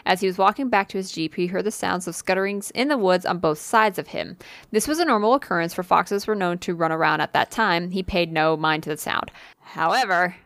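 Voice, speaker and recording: A woman's voice: fairly low pitch, fairly quick, a bit bright, dry recording. A woman casually reading a spooky listener story aloud on a podcast, with fillers and asides. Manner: reads with occasional fillers and asides.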